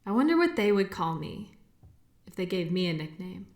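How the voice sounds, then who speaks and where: A woman playing a caring, shy doctor in an intimate audio roleplay, talking softly and hesitantly in a conversational way. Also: on the low side, very animated, moderate pace, no echo, studio quality. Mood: sincere, admiring. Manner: soft, hesitant, giggly, conversational.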